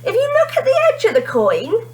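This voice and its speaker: Woman voicing a kindly male schoolteacher, raised pitch. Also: wildly swooping pitch, moderate pace, a little mellow, full character voice. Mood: gentle, regretful.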